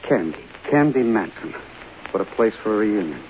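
An actor playing a smooth, flirtatious Hollywood leading man in a 1950s radio drama. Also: on the low side, big pitch swings, normal speed, soft background music. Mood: shaken. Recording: hissy, muffled 1950 radio broadcast.

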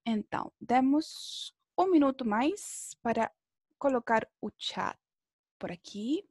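A woman with a high-pitched voice leads an online language lesson. She encourages her pupils and keeps checking that they follow with little tag questions. The sound is dry, with very little room in it. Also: animated, slow, darkish tone, breathy edge, dry recording. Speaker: language teacher. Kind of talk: teaching an online beginner Portuguese class. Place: indoor room, online video class.

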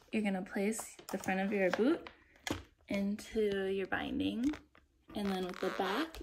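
A woman's voice: mid-range voice, noisy background. A young woman talking casually and step by step as she demonstrates how her ski gear works. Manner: friendly, explains step by step while handling gear. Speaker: outdoor gear vlogger.

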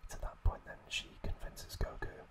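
A man whispering breathily and close to the microphone as an ASMR host, recounting in a hushed, halting way. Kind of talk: whispered ASMR recap narration.

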